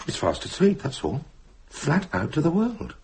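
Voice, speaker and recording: An actor in a radio drama playing a witty, cynical, self-mocking British intellectual with a wry, articulate, theatrical delivery. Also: male voice, on the high side, huge pitch swings, normal speed, creaky, darkish tone, exaggerated voice. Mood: urbane, soothing. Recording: hissy vintage radio recording with clicks.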